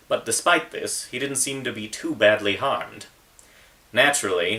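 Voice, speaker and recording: A man's voice: low-pitched, sharp tone, far from the mic, low-quality recording. A man who is an author, talking about and reading aloud from his own book. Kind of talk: casual book intro, then narrated reading.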